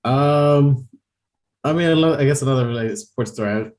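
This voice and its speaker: A man's voice: mid-range voice, normal speed, a little mellow. A young man co-hosting a podcast in casual chat, talking loosely with lots of laughter and fillers. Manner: chatty, laughs often, many fillers. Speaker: podcast co-host.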